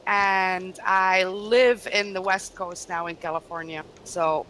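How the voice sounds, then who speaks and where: A woman's voice: mid-range voice, fairly even intonation, takes it slowly, a bit bright, a little hiss or hum, rough recording. Giggly woman with a Belgian French accent. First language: Dutch, French and German. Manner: giggly, chatty, reads out viewer comments. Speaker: livestream co-player. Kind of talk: casual gaming chat.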